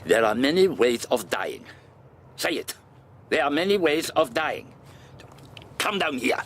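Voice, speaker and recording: An actor playing a menacing older man in a radio drama, speaking in formal, precise, deliberate phrases. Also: medium pitch, very animated, fairly bright tone, slight background noise. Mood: stern, irritated. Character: menacing aging male villain, formal, cold, deliberate.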